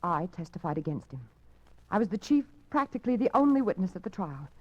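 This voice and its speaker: A woman's voice: on the low side, very animated, a little fast, husky. An actress playing a frightened young woman who speaks anxiously and stammers in a 1940s radio drama, heard through a dull lo-fi broadcast recording. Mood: fearful.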